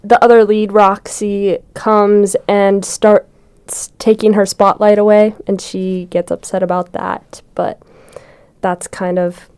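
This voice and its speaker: A teenage girl, a student actor, describing her character in a relaxed way with some fillers. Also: mid-range voice, takes it slowly, clear, resonant tone. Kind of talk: casual interview answers.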